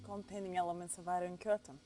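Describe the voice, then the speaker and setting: An actress playing a businesslike, authoritative Soviet officer, giving matter-of-fact briefings in a video game cutscene. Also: female voice, on the low side, flat delivery, fast, warm tone, soft background music, natural voice.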